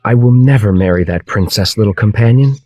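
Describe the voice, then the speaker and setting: A man voicing a kind young prince, sincere, gentle and romantic, in a children's storybook tale. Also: on the low side, brisk, no room sound, close to an everyday voice. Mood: resolute. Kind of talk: children's storybook character acting.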